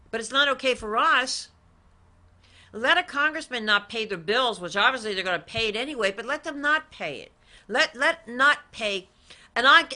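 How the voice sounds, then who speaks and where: A forceful, bright-voiced woman hosting a talk show straight to camera. She speaks emphatically and drives her points home by repeating them. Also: a little high. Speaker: talk show host and credit advisor.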